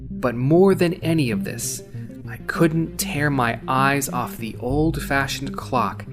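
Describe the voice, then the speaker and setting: A man voicing a weary young courier who narrates a cyberpunk story in a subdued, measured way. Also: mid-range voice, natural voice. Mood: subdued, tense. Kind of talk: scripted first-person audio fiction narration. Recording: music behind the voice.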